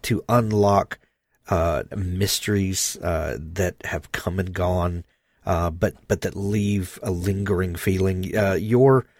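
Slow, halting deep-voiced interviewer. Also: male voice, darkish tone, no room sound, clean studio sound. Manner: halting, many fillers and restarts. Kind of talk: interview question.

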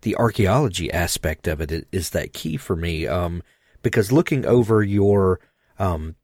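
A man's voice: deep voice, moderate pace, darkish tone, no room sound, clean studio sound. A man interviewing an author, asking a long question with many fillers and restarts.

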